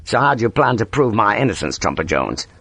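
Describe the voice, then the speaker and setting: Man playing a gruff, growling soldier with animated pitch. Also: a little fast, full character voice. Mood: demanding, blunt. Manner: curt, blunt, impatient, swearing. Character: gruff, scarred soldier, irritable and scornful.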